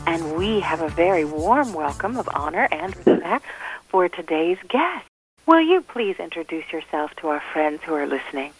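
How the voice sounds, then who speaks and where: Low-voiced effusive woman host. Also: big pitch swings, moderate pace, vocal fry, husky, poor audio. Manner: effusive, warmly formal greetings. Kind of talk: interview hosting.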